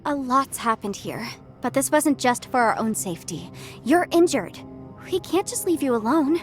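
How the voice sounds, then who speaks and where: A young adventuring heroine with a high, earnest voice. She speaks articulately and urgently, as a caring companion in a video game, with soft music underneath. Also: lively intonation, moderate pace. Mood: caring, pleading. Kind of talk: English game dub voice acting.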